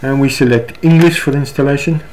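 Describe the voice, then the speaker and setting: A man with a South African accent talks through a software screencast step by step, unscripted and halting. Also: medium pitch, steady tone, low-quality recording. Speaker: software tutorial instructor. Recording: clear background noise, room ambience.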